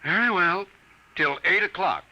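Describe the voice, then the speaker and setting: A man's voice: sits high, measured pace, sharp tone, heavily acted. A man playing a skeptical, mildly stern father in a 1950s radio drama, firm and a little dismissive. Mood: disapproving. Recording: dull, noisy vintage 1950 radio recording.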